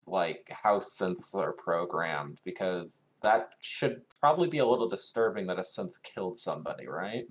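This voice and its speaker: A man speaks in a deep voice as a player in a tabletop game. He is informal and inquisitive, putting casual questions to the game master. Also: expressive, normal speed, few pauses, poor audio.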